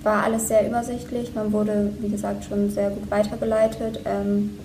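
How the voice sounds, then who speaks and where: A 21-year-old woman from Lower Saxony, a real estate trainee, speaking quietly. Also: flat delivery, moderate pace. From Lower Saxony, Germany. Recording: distant echoey mic with faint noise.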